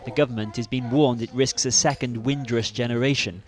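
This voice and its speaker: Low-voiced woman narrating a news report. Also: fairly even intonation, a little fast, crowd noise.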